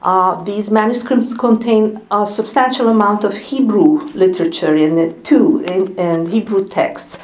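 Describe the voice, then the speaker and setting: A woman's voice: medium pitch, darkish tone. Hungarian-accented woman scholar giving a talk. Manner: thoughtful, unhurried, many small pauses and fillers. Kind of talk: public talk at an evening event.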